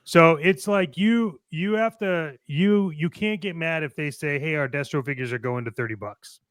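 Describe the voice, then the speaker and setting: A man with a bright, clear voice holds forth as a casual show co-host. He is blunt and emphatic, circling back on his phrases to press a point, in a close, dry sound. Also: on the high side, moderate pace, dry recording. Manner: emphatic, repeats phrases, blunt with mild swearing. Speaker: co-host of a toy collecting show. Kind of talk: casual opinionated discussion.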